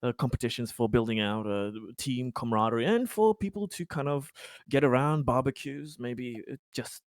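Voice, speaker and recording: A man's voice: medium pitch, expressive, moderate pace, dry recording, studio quality, natural voice. A man playing a courteous, eager-to-please council official in a tabletop game, speaking in a polite, rambling, stammering way. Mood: polite, welcoming. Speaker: tabletop roleplay player. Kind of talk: improvised in-character tabletop dialogue.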